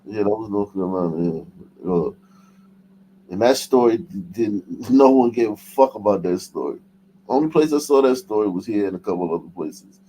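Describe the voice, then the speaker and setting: A man with a low, mellow voice commenting casually on the news. His talk is blunt, slangy and full of swearing, with noticeable noise behind him. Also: expressive, moderate pace, poor audio. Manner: blunt, profane, street slang. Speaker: show host.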